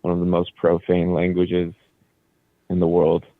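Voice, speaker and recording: A man whose first language is Croatian talks easily as a radio guest, rambling through long explanations with plenty of fillers. His voice sits very low. The sound is dry and narrow, like a phone line. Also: a little slow, vocal fry, dry recording. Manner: relaxed, explains at length with fillers. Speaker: band leader and studio guest. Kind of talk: casual radio interview.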